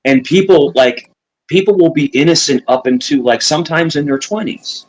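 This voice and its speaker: A man's voice: a little high, normal speed, low-quality recording. A man from New York co-hosting a casual talk show, earnest and opinionated, often repeating himself. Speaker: co-host.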